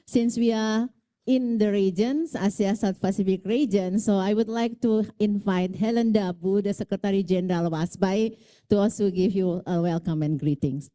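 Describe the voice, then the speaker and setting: A woman's voice: mid-range voice, fairly even intonation, normal speed, mellow. A woman moderating a conference, briefly introducing the next speaker.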